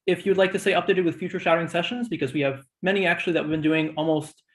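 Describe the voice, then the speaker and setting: Fast-talking man with a higher-pitched voice. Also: steady tone. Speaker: host of a virtual student shadowing session. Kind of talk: informal introduction and announcements.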